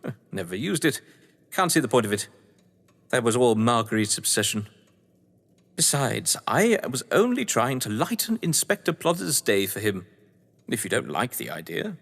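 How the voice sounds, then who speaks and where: An actor playing a scheming, theatrical English crime novelist in an audio drama, pompous, arch and bossy, with an English accent. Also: male voice, medium pitch, very animated, normal speed, vocal fry, long pauses, fairly bright tone, heavily acted. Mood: arch, amused. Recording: long hall-like reverb.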